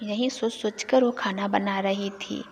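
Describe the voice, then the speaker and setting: A woman's voice: medium pitch, fairly even intonation, a little slow, slight background noise. A woman narrator reading a scripted moral bedtime story for an animation.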